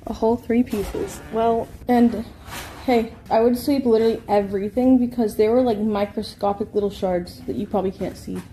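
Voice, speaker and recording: A woman's voice: a little high, steady tone, moderate pace, warm tone, a little hiss or hum, poor audio. A young woman streaming from her home kitchen, chatting casually and reacting dramatically while she cooks. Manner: dramatic, squeamish, exclaiming. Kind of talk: casual livestream chat while cooking.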